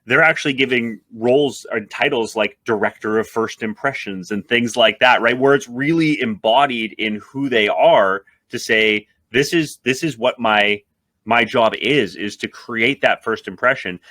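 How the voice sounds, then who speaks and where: A man hosting a podcast, talking in an excited, enthusiastic conversational way. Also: medium pitch, moderate pace, no room sound. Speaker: podcast host, marketing advisor.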